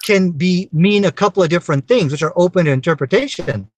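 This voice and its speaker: A middle-aged man from Pakistan argues his case over a webcam on a video call. He lectures with persistence and often says "you know". His voice sits high and sounds dry and close. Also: brisk, no echo. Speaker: debater. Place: dark room, indoors.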